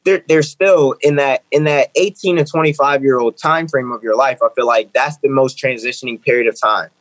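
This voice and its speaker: An earnest young man in his twenties talks through his ideas in an interview. He often restarts and repeats phrases as he builds toward a point. His voice is close and dry, with a middling pitch. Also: a little fast, fairly bright tone, no room sound, low-quality recording. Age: 20s. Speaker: guest, author and speaker. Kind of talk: interview conversation.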